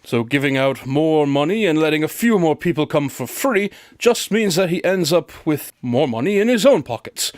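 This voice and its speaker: A man plays an aloof radio drama detective with a fairly high male voice, recorded close and dry. He reasons methodically, in a clipped and pompous way, as if every conclusion were obvious. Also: lively intonation, normal speed, few pauses, a little mellow, no room sound, natural voice. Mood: dry deduction.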